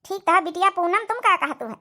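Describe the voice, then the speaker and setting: A woman's voice: very high voice, animated, brisk, sharp tone, exaggerated voice. A woman voicing a doting, practical village mother in a cartoon comedy, speaking coaxingly with an Awadhi accent. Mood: practical, hospitable. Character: doting middle-aged widowed village mother, practical, hospitable.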